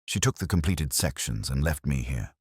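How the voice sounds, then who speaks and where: A man voicing a sickly, weakened aristocrat, faint, croaky and halting, in a detective audiobook. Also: very deep voice, expressive, no room sound, clean studio sound, full character voice. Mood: weary, fearful. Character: sickly, imperious viscount, weakened and drugged.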